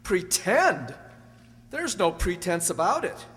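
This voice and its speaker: A man playing an earnest, anxious young husband in an amateur radio drama, halting and emphatic as he pleads. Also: sits high, huge pitch swings, a bit bright, heavily acted. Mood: shocked, agitated. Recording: echoey hall, faint hum.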